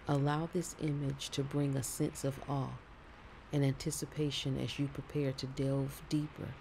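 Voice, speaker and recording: Slow, mellow man guiding sleep meditation. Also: mid-range voice, vocal fry, a little hiss or hum, poor audio. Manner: soothing, gentle, deliberate phrasing. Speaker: meditation narrator.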